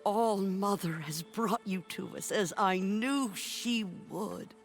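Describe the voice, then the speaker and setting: A woman playing a feisty, wise old village elder and grandmother in an animated video game, blunt and colorful in her talk. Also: mid-range voice, slow. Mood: warm, proud. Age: older. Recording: music behind the voice.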